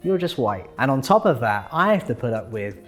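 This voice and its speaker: A man's voice: mid-range voice, sing-song, a little fast. A young man with a British accent, narrating a personal video essay with dry, sarcastic humor. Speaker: YouTuber. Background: background music.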